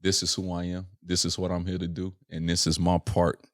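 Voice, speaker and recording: A man's voice: low-pitched, completely flat delivery, fairly quick, dry recording, studio quality. A young adult man from Chicago, a rapper talking as a podcast guest in an earnest, reflective way, often checking in with "right?". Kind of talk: casual reflective interview chat.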